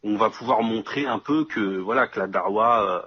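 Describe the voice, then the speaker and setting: Low-voiced, husky man explaining casually. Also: moderate pace, highs cut off. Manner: explanatory, often checks the listener follows. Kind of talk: casual conversation, explaining a plan.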